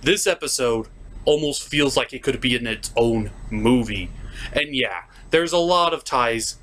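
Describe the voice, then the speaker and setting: A man voicing an excitable, sentimental puppet who hosts a cartoon review show with emphatic, theatrical delivery. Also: mid-range voice, slight background noise, natural voice. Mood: earnest praise. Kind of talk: lively semi-scripted review commentary.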